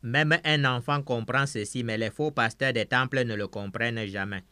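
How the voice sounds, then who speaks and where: A man's voice: medium pitch, moderate pace, sharp tone, no echo. A man preaching a sermon through an interpreter, emphatic, saying each phrase several times over.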